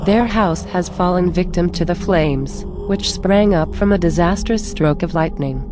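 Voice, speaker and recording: A woman's voice: lowish voice, measured pace, close to an everyday voice. A woman playing an eerie, knowing, wistful figure in a gothic horror audio drama, with solemn, dreamy, literary phrasing. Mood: ominous. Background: dark horror music soundtrack.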